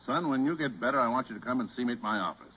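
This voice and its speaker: A man's voice: medium pitch, lively intonation, rapid-fire, highs cut off, a little hiss or hum, lightly acted. A man acting a kind-hearted male executive in a 1940s radio drama, on an old radio recording. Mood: kindly.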